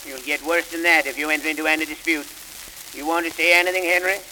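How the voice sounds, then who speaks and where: No-nonsense judge, crisp and sharp, hiss behind. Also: male voice, fairly high pitch, steady tone. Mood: stern. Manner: brisk, no-nonsense. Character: gruff small-town judge, man, impatient. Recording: hissy, echoey old record.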